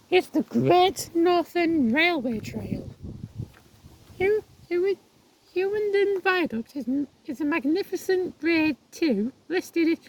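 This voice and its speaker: Woman, croaky voice, high-pitched, rambling outdoor walking vlog, faint background noise. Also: a little mellow, rough recording. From England. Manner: rambling, restarts words, reads signs aloud haltingly. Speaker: vlogger. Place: outdoors on a viaduct.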